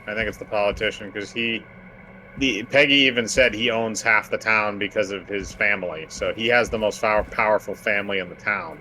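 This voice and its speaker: A man's voice: lowish voice, steady tone, a little fast, bright, forceful tone, a little hiss or hum, poor audio. A man giving casual gameplay commentary, thinking aloud and speculating as he goes. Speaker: gamer.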